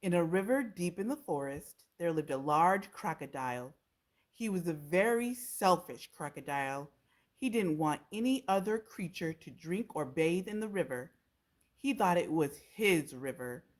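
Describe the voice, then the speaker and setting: A woman's voice: fairly low pitch, lively intonation, normal speed. A woman reading a children's storybook aloud as a storytime narrator. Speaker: storytime reader.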